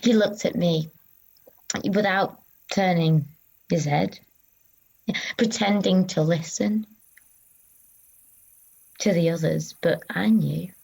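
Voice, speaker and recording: A woman playing a grieving but resolute female disciple who recalls her rejection in a halting dramatic monologue with long pauses. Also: fairly low pitch, vocal fry, darkish tone, husky, heavily acted, low-quality recording. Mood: remembering, guarded.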